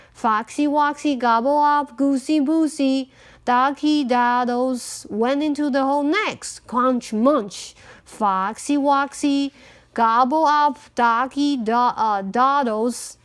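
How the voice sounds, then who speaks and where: A native Mandarin-speaking woman reading a picture book aloud expressively, with chatty asides as a parent would. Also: sits high. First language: Mandarin Chinese.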